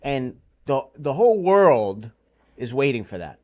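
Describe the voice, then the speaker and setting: A young man hosting a casual podcast chat, his voice swinging up and down with lively pitch. He talks loose and slangy, breaking into laughs often. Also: unhurried. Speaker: podcast host.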